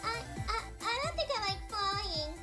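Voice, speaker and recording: A performer voicing a young, earnest and easily frightened cartoon sidekick in an animated comic dub. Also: extremely high pitch, measured pace, heavily acted. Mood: anxious, pleading. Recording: loud music under the voice.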